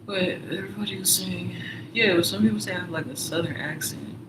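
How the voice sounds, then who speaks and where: A man's voice: sits high, fairly quick. A man telling a story in casual chat, rambling with many fillers and sometimes dropping to a whisper. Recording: roomy, distant mic, noisy background.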